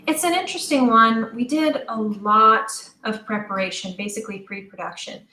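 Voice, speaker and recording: A middle-aged woman chatting casually as an interview guest, telling stories in an animated way and acting out bits of quoted dialogue. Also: on the high side, normal speed. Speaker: interview guest, audio drama creator. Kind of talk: casual conversational storytelling. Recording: roomy, echoing sound.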